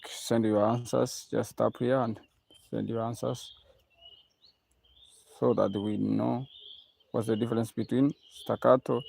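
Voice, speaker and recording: Very gravelly man hosting a live lesson. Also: medium pitch, a little mellow, low-quality recording. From Kenya. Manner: repetitive, coaxing viewers to answer. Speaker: music teacher hosting a live stream. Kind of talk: live-stream Q&A with viewers.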